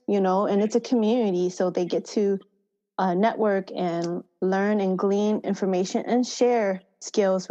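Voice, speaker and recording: A woman's voice: medium pitch, a little mellow, dull audio. A woman with an American accent speaking as a podcast guest in an informal, chatty interview style. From Thailand and United States. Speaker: podcast guest, farmer education manager. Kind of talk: conversational interview.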